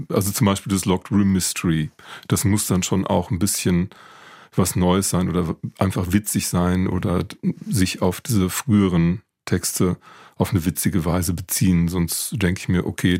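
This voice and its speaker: A man with a calm, sonorous voice, explaining as an academic expert in an interview. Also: low-pitched, no echo, clean studio sound. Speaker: literature professor and crime fiction expert interviewed.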